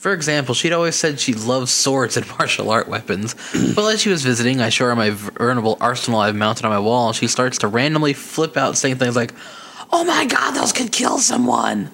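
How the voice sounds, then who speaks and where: Podcast co-host, male, mid-pitched, chatty, reads emails with laughs, faint hiss. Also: very animated, fairly quick, a bit bright. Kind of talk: casual chat and reading listener emails.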